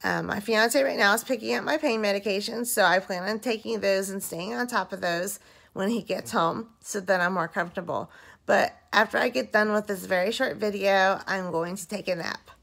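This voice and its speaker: Hoarse, exhausted woman vlogging from bed. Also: medium pitch, fairly bright tone. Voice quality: dry-mouthed, rough voice. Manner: slow, drowsy, rambling. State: hoarse and very tired after surgery. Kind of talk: casual recovery video diary. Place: lying in bed at home, indoors. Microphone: phone held at arm's length.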